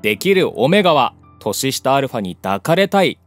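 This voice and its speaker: A man hosting a casual talk show with a very lively, swinging pitch. He playfully stretches out introductions like an announcer, with music playing behind him. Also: moderate pace. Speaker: co-host of a voice actors' channel. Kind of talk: lively scripted-then-chatty hosting with a guest.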